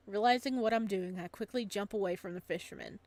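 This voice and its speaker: A woman's voice: medium pitch, a little fast, darkish tone, no room sound. A woman streaming a visual novel, reading narration aloud and reacting casually in a giggly, gushing way.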